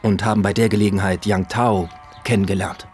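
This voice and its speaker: An actor playing a composed, probing police inspector in a radio drama, with a dry, polite manner. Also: male voice, lowish voice, fairly even intonation, a little fast, darkish tone, faint music behind, lightly acted.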